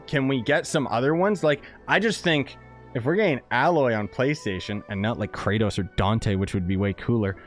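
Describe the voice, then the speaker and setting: A man's voice: mid-range voice, fairly bright tone. A young man rambling casually about a game he is playing, laid-back and full of fillers. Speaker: gaming streamer. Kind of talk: casual commentary over gameplay.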